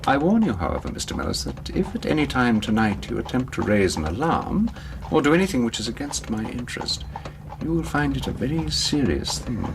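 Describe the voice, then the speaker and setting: Courteous, menacing kidnapper, hugely varied pitch. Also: male voice, sing-song, fairly quick, creaky, background noise. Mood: cool menace. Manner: polite, formal, threatening. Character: smooth, menacing gentleman kidnapper, coolly courteous. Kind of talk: radio drama acting.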